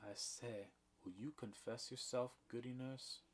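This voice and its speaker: A man's voice: steady tone, unhurried, close to an everyday voice. A man voicing a stern, pompous older male judge who presses a prisoner for a confession, in a read-aloud play. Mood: insistent. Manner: insistent, officious, raised pitch.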